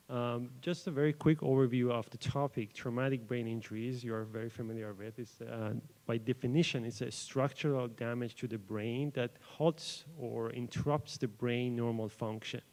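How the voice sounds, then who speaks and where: A young man from Iran whose first language is Persian, a doctoral researcher giving an informal academic talk to a live audience in an event room, pausing often with fillers and restarts. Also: mid-range voice, mellow. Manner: hesitant, frequent fillers and restarts. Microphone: microphone adjusted at the podium.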